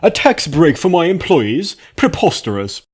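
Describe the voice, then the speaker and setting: A man's voice: fairly high pitch, big pitch swings, a little slow, a little mellow, husky, voice pushed up, full character voice. A man voicing a snarling, outraged aristocrat pony with spluttering, elitist scorn, in a story reading. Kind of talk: dramatic fan fiction reading.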